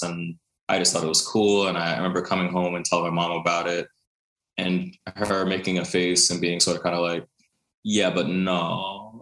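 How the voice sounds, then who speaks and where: Deep-voiced Brooklyn man in an echoey room. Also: fairly bright tone. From Brooklyn, New York. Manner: chatty, many fillers, laughs often. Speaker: guest, contemporary dancer. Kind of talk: casual interview storytelling.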